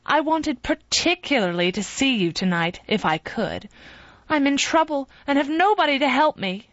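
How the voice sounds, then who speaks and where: Humble woman in trouble, pleading, pitched up; read by a female narrator. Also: lively intonation, moderate pace, a bit bright, dry recording, highs cut off.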